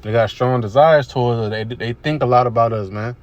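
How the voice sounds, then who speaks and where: A man's voice: mid-range voice, fairly quick, lo-fi sound. A man giving casual religious commentary over video clips, laid-back and repetitive, with many fillers. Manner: laid-back, repetitive with "you know what I'm saying".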